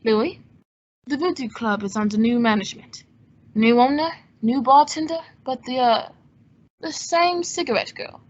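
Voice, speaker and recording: Woman as a cool, high-voiced gentleman sleuth. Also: normal speed, natural voice. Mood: wry, friendly. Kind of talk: old-time radio drama acting. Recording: dull, muffled lo-fi audio.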